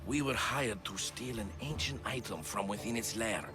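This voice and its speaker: An actor playing a grim, battle-worn mercenary warrior in a video game cutscene, speaking in a grave, brooding way. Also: male voice, on the low side, normal speed, over music, lightly acted. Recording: game cutscene with loud score behind.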